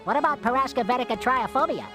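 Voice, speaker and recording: A man voicing a wisecracking, quick-witted brother creature in a cartoon video game. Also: very high-pitched, music in the background. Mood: glib, wisecracking.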